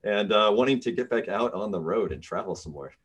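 A man's voice: fairly low pitch, lively intonation, brisk, fairly bright tone, slightly rough. A man hosting a podcast, chatting casually and laughing often. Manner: laughs often, friendly and joking. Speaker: podcast host. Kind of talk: casual interview chat.

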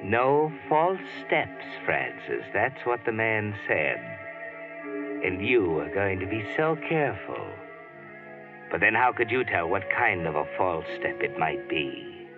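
Brief: ominous radio-mystery narrator, low voice, tinny vintage broadcast. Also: male voice, big pitch swings, over music. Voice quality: low, measured, insinuating. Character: ominous male mystery narrator, knowing and taunting. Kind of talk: 1940s radio drama narration.

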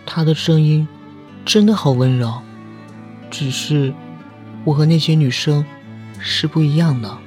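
A man's voice: on the high side, a little slow, long pauses, a little mellow, close to an everyday voice. A man playing a shy university student in love, earnest and dreamy in an audio drama. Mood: dreamy admiration. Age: young adult. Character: lovestruck shy male university student, secretly pining. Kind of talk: audio drama acting with inner monologue. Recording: voice over background music.

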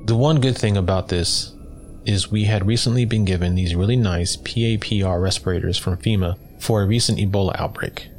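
A man's voice: low voice, a little fast, a little mellow, slight background noise. A man reading a first-person horror story as scripted narration for listeners. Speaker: guest story reader.

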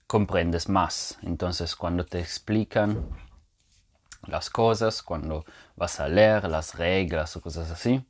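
A man teaching as a podcast host, explaining step by step and thinking aloud, with frequent fillers and restarts. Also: deep voice. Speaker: language teacher.

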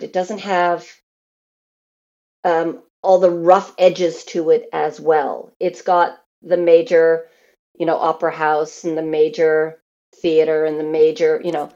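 A woman's voice: low-pitched, a little mellow, lo-fi sound. A woman from Canada telling stories casually as an interview guest, acting out what others might say. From Canada, grew up in Newfoundland and Alberta. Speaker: interview guest, theatre performer.

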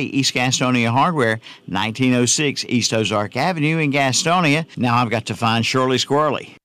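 A man hosting local radio and reading ads in a folksy manner. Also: mid-range voice. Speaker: radio swap shop host and ad reader. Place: radio studio.

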